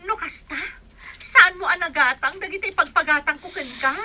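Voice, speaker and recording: A woman's voice: very high-pitched, normal speed, bright, forceful tone, a little hiss or hum, full character voice. A woman playing an eager, nosy young single friend in an Ilocano-accented radio comedy-drama, exclamatory and teasing. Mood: surprised.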